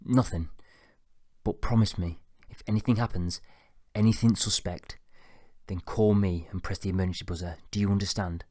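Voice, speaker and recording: A man voicing a tense young man who speaks in a low, cold, controlled way. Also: fairly even intonation, moderate pace, mellow, no echo, studio quality. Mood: earnest. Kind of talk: audiobook character voice.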